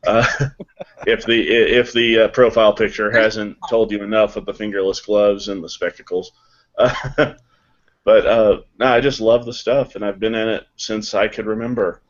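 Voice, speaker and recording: A man's voice: fairly low pitch, flat delivery, normal speed, lo-fi sound. A man chatting casually as a panel guest, wry and self-deprecating. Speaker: voice actor on a panel. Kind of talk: casual unscripted panel chat.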